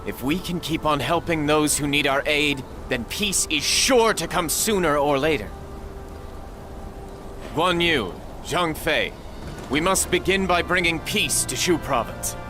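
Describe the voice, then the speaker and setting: A humble lord talks in a fairly high pitch. He is earnest and formal, a high-minded game hero with music clearly underneath. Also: expressive, unhurried. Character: noble, humble, idealistic warlord of ancient China. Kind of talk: video game dramatic acting.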